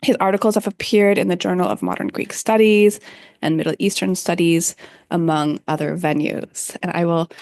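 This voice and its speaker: A woman chairing an academic panel, introducing speakers in a measured way with frequent fillers. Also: fairly low pitch, lively intonation, a little mellow, no room sound, studio quality. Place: conference room.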